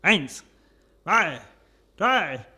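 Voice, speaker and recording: Shrill, blustering German villain baron. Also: male voice, big pitch swings, very slow, bright, forceful tone, breathy edge, heavily acted. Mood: impatient. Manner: blustering, scolding, theatrical. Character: bossy German baron villain, excitable and short-tempered. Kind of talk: comic radio play acting.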